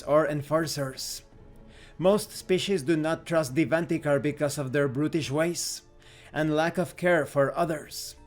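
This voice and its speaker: A man reading rulebook text aloud as scripted narration for a tabletop game review. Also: a little high.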